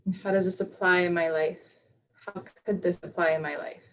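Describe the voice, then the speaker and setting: A woman yoga teacher leading a live online class over a video call, guiding a reflection softly and unhurriedly with many fillers. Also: lowish voice, fairly even intonation, normal speed, a little hiss or hum.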